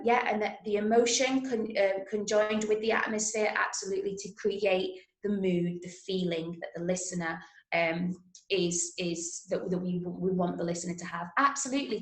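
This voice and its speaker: A woman from Manchester with an English accent, teaching an interactive drama workshop online in an encouraging manner. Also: mid-range voice. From Manchester, England. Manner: encouraging, praising students' answers. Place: indoors, on a video call. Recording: roomy, echoey sound.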